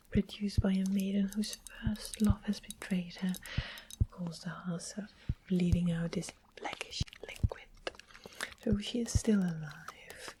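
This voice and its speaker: A sweet-faced, sly witch acted by a woman whispers softly and lowly in an ASMR fantasy roleplay. Her manner is gentle and doting with a quietly sinister edge. Clear noise and small clicks sit behind the close whisper. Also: lightly acted. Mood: tender, sly. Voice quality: soft unvoiced whisper.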